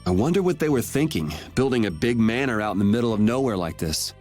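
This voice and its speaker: A man's voice: lowish voice, sing-song, fast, a little mellow, soft background music. A man playing a cool, blunt young swordsman and leader in a video game, with a dry, sardonic manner. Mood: dry, impatient. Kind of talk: video game dub acting.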